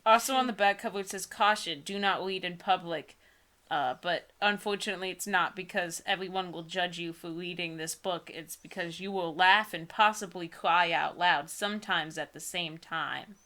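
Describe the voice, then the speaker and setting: A woman's voice: on the low side, steady tone, moderate pace, pushed and bright. A young woman in a casual read-aloud, giggly and often breaking off to add mocking comments. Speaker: co-host reading books aloud.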